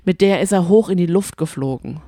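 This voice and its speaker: Casting: female podcast co-host, lowish warm voice, chatty and opinionated, close dry sound. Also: fairly quick, no echo. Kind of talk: casual chat recapping reality TV.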